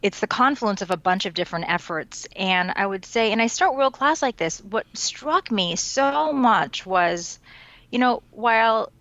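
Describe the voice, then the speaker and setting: A woman's voice: medium pitch, unhurried, dry recording, dull audio. A woman in her forties from the New York area whose first language is Japanese, telling her story fluently as an interview guest. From United States, New York tri-state area. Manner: fluent, storytelling, relaxed with light laughs. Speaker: guest, education author and consultant. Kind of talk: conversational interview answer.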